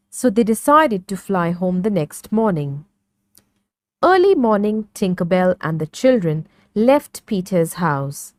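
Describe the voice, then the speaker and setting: Woman narrator, children's storybook reading, very animated intonation, unhurried pace, dry close sound. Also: medium pitch, dry recording. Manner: slow, clearly phrased read-along delivery.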